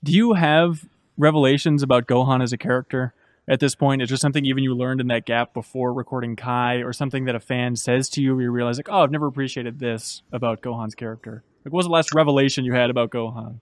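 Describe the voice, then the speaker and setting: Fast-talking man, dry close mic. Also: medium pitch, fairly even intonation, no echo. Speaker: podcast host, interviewer. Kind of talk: casual interview questions. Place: podcast room with brick wall and shelves, indoors. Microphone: desk studio microphone, close.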